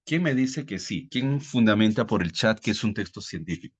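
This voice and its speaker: A man with a fairly low voice and a Peruvian Spanish accent leads a live online lesson. He explains in a didactic way, often asking questions and checking that his students follow. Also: lively intonation, normal speed, husky. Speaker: teacher, exam-prep tutor.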